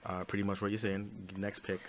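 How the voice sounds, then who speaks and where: A man's voice: fairly low pitch, fairly quick, slight background noise. A man with a Trinidadian accent, telling dating stories in a casual group discussion and laughing often. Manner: laughs often, animated storytelling. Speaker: panel guest.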